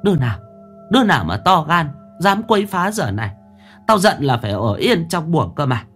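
A man's voice: a little high, big pitch swings, normal speed, fairly bright tone, faint music behind, pitched above the performer's own voice. A man voicing a fierce, cruel older woman who barks threats at her household. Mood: furious. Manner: scolding, barking threats. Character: fierce shrill older woman, bullying mistress.

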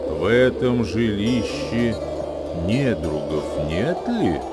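An actor in a radio play voicing a grave male wanderer who recites ancient wisdom verse in a deliberate, declamatory way. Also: fairly low pitch, very animated. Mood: grave, reflective. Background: music.

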